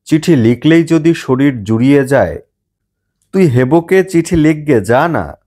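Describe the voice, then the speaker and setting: A man's voice: a little high, expressive, a little slow, warm tone, voice pushed up. Man acting a grumpy, sceptical older brother. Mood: indignant. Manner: suspicious, sardonic.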